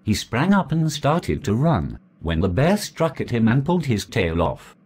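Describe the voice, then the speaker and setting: A man reading a folk legend aloud as a storyteller, in a measured, deliberate way. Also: mid-range voice, sing-song, a little mellow, breathy edge.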